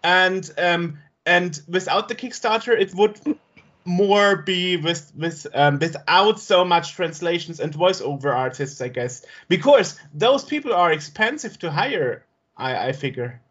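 A man's voice: high voice, expressive, measured pace, fairly bright tone, dull audio. A man interviewing a game developer, asking his questions hesitantly and thinking aloud as he goes.

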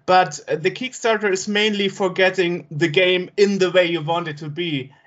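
High-voiced male interviewer, muffled. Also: fairly even intonation, normal speed, a bit bright. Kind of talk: casual interview questions.

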